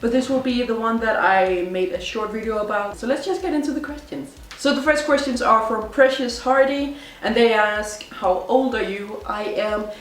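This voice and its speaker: A woman's voice: a little high, moderate pace, hardly any pauses, distant mic. Chatty young woman in an echoey room. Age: 20s. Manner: chatty, rambling, with many fillers and self-corrections. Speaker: vlogger. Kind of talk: casual chat. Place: indoors at home.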